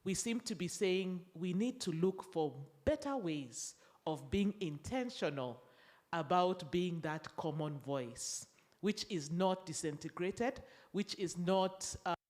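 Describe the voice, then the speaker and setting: A moderator summing up a conference panel in a measured way, repeating phrases. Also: mid-range voice, animated, darkish tone.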